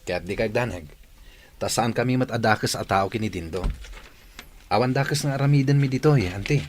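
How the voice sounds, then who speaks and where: A man's voice: medium pitch, fairly quick, a little hiss or hum, lightly acted. A man playing a respectful, reassuring young nephew in an audio drama. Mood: respectful, earnest. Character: loyal young male helper, respectful, reassuring.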